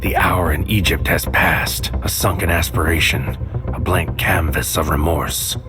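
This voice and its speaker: An actor playing a tormented male protagonist, narrating a sci-fi mystery drama in a grave, deliberate, poetic way. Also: very deep voice, background noise. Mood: restless, grim. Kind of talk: first-person scripted audio drama narration. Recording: music behind the voice.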